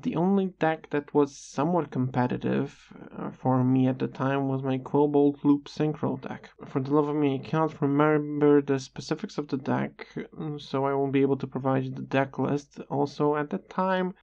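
A video creator narrating a scripted personal story in a measured, slightly halting way with dry sarcasm, on a dull lo-fi recording. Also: mid-range voice, fairly even intonation, gravelly, warm tone.

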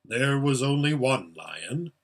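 A man voicing an unseen great lion in a storybook, solemn and measured, with a large deep voice. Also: sing-song, husky, exaggerated voice. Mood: calm, solemn.

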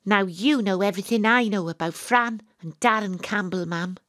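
An actress with a Welsh accent playing an excitable young woman investigator in an audio drama, with breathless, suspenseful delivery. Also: mid-range voice, normal speed, no room sound, studio quality, natural voice. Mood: shaken. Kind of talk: audio drama narration and dialogue.

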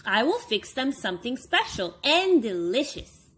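A woman's voice: a little high, very animated, a little slow, fairly bright tone, husky, full character voice. A woman voicing a lovable, overconfident male furry monster from a storybook, with cheerful, emphatic exclamations.

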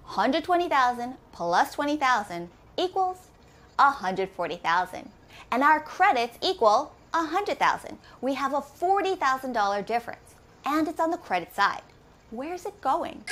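A woman in her thirties teaching accounting, walking through each step and asking quick questions as she goes. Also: high-pitched, very animated, a bit bright. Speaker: accounting instructor. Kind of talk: recorded lesson walkthrough. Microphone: camera framed head and shoulders.

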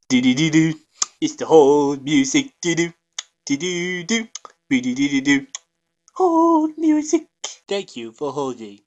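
A man voicing an oblivious, chipper cartoon tech-support agent with cluelessly polite customer-service patter. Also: on the high side. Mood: singsong. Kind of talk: cartoon fandub acting.

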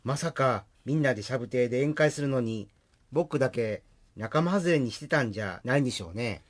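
A man's voice: medium pitch, animated, brisk, close to an everyday voice. A man playing an eager, sociable accountant in a comic radio drama.

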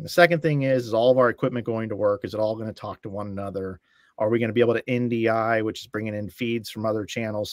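A middle-aged man talking over a video call from a room at home, with a dry, close sound. He builds long stories and slips into acted-out lines of dialogue, often restarting his phrases. His voice sits at an ordinary pitch for a man. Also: dry recording. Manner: storytelling, acting out quoted dialogue, restarts phrases. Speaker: guest, bowling stream commentator. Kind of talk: casual podcast chat.

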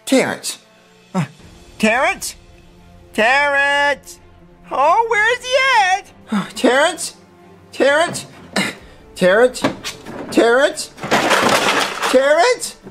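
Puppet comedy kid, played by a man: naive, fretful, hesitant, repeats himself, lively swooping delivery over music. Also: very high voice, drawn-out words, long pauses, heavily acted. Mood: nervous, worried. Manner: hesitant, stammering, repeats phrases when worried. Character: whiny, anxious, naive little puppet boy with pets. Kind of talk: scripted puppet comedy acting.

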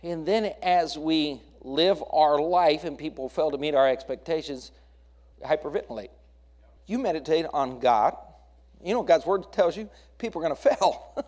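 An American man delivers a sermon in a fairly high-pitched voice. He exhorts his congregation as their pastor, putting questions to them and driving points home with repeated phrases and lists. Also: moderate pace, a little mellow.

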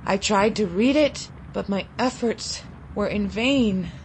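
A woman narrating a literary short story in a deliberate, expressive, dramatic way with long pauses. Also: medium pitch, a little hiss or hum, rough recording. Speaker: audiobook story reader.

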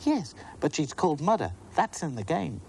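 A man voicing a timid four-year-old girl in a high, pleading voice. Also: sing-song, moderate pace, vocal fry, a little mellow, a little hiss or hum, poor audio.

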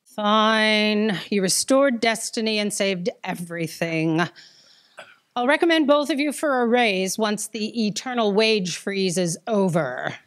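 A woman's voice: mid-range voice, a little slow, close to an everyday voice. A woman playing an irritable, secretive, imperious office boss in a staged audio drama. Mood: commanding. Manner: curt, bossy, theatrical. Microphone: stand microphone on a theatre stage.

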